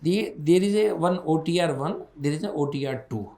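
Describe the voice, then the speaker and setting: A man's voice: fairly high pitch, normal speed, gravelly, darkish tone, reverberant. A man with an Indian accent answering questions as a bank executive on an earnings call, in an emphatic, repetitive way.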